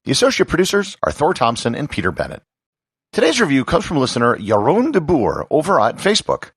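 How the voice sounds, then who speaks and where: An American man from Wisconsin hosting a podcast, delivering scripted educational narration in a husky, gravelly voice with measured, emphatic phrasing. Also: mid-range voice, huge pitch swings, fairly bright tone, no room sound, studio quality. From Wisconsin, United States.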